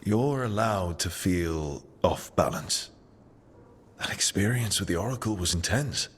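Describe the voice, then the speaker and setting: An actor playing a steady, supportive and slightly wry male team leader in a video game. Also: deep voice, a little slow, husky, full character voice. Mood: reassuring. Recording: long reverb, faint music.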